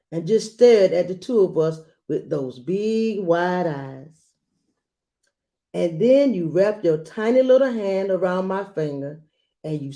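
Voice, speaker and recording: A woman reading a children's picture book aloud expressively and gently, adding small asides as she goes. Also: medium pitch. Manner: gentle, unhurried, adding commentary to listeners.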